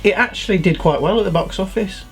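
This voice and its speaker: A man's voice: sits high, fast talker, soft background music. A British man co-hosting a casual chat show about films. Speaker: co-host.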